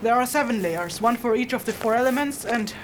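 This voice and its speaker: A woman's voice: fairly high pitch, animated, brisk, lightly acted. A woman playing a serious, curt nonhuman spirit hunter in a fantasy audio drama, speaking tersely and matter-of-factly. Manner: matter-of-fact, terse, explanatory. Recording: faint background noise.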